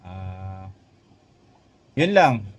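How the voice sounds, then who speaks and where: A man's voice: sits low, sing-song, drawn-out words. A Filipino man giving a casual, conversational product review as a motovlogger, speaking directly to his viewers. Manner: conversational, addresses viewers, often tags phrases with "no?".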